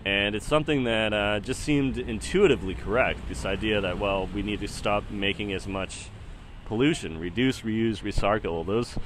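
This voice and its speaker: 30s guy podcasting solo, kinda low voice, hesitant rambly musing, faint ambient noise behind him. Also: normal speed. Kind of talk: casual rambling spoken commentary.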